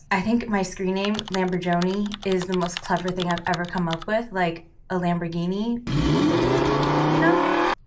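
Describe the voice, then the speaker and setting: A woman in her thirties, born in California with Cantonese as her first language, chatting casually while she does her makeup. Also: on the low side. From San Francisco Bay Area, California. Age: 30s. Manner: chatty, peppered with "like", soft-spoken while doing makeup. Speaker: beauty vlogger. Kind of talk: casual get-ready-with-me chat.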